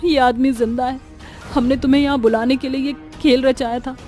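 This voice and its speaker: एक औरत कार्टून कहानी में चुड़ैल का सामना करती एक साहसी, सच्चे दिल की पत्नी की विनती भरी आवाज़ निभा रही है।. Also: female voice, high voice, fairly even intonation, brisk, mellow, close to an everyday voice. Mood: demanding. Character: brave, earnest wife confronting a killer witch. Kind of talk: Hindi cartoon story acting. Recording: faint music underneath.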